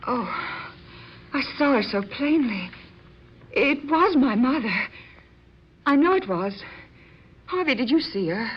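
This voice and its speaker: A woman's voice: sits high, lively intonation, slow, pauses often. An actress playing an earnest, awed young woman in an old-time radio drama, hesitant and repeating her words. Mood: pleading, overwrought. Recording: muffled, noisy old radio recording.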